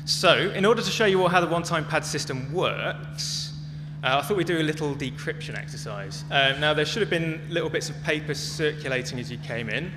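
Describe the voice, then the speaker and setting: A man giving a prepared talk as a conference speaker, phrasing it in short, measured, emphatic chunks. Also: a little high, animated. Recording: long echoey reverb, clearly noisy with hum.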